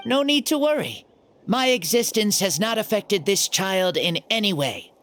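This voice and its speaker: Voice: mid-range voice, animated, normal speed, a bit bright, a little hiss or hum. An actor voicing a playful ancient hero spirit in a young boy's body, cocky and theatrical, for a video game. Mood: assured.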